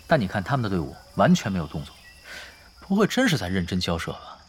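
A man's voice: low-pitched, huge pitch swings, fast talker, slight background noise. A man playing a laid-back, sly young gamer who teases and schemes in a nonchalant, wry way in an audio drama. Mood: wry, relaxed. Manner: nonchalant, wry, dry teasing. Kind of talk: Mandarin audio drama acting, conversational banter. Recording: studio drama with music underneath.

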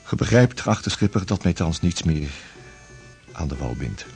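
An actor playing a devout, grieving Dutch sea captain in a period radio drama, solemn, formal and halting, with a husky voice. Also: male voice, low voice, animated, a little mellow, faint music behind, full character voice.

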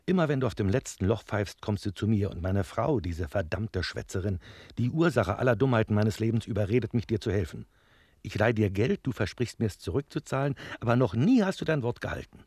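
An actor playing a blustering, scolding but soft-hearted innkeeper in a German radio drama, indignant and exclamatory. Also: male voice, lowish voice, animated, fairly quick, slightly rough, dry recording. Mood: angry.

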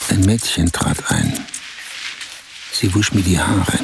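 An actor in a radio play as a man recounting, in measured detail, a strange dreamlike night of being pampered. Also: low-pitched, gravelly, noisy background, exaggerated voice. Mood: recounting, absorbed. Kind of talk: German radio play monologue. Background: music.

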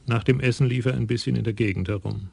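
A man narrating a crime radio play in an even, measured way. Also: mid-range voice, vocal fry, husky, dry recording.

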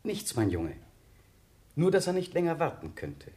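An actor playing a kindly, conciliatory village vicar in a radio drama, soothing and paternal in manner. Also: male voice, on the high side, huge pitch swings, normal speed, darkish tone. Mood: apologetic, gentle.

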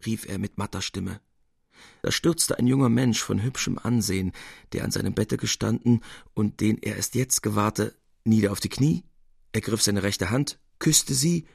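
A man narrating a literary tale expressively, with measured, theatrical emphasis. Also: fairly low pitch, vocal fry, slightly rough, no room sound, clean studio sound.

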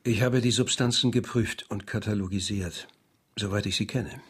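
An actor playing a sober, learned doctor with a measured, clinical manner in a historical radio crime drama. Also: male voice, fairly low pitch, a little hiss or hum, lightly acted. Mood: grave, measured. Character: sober, learned male doctor in old Paris.

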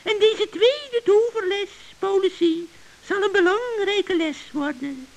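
A woman's voice: extremely high pitch, animated, takes it slowly, heavily acted, poor audio. A woman voicing a scheming, cackling witch in an old children's radio play, fawning and tripping over her words. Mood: sly.

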